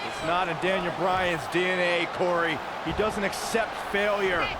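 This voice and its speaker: A man's voice: sits high, steady tone, moderate pace, close to an everyday voice. A man voicing a fervent wrestling colour commentator in a video game, speaking earnestly and with emphasis. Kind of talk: sports commentary. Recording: loud arena crowd noise throughout.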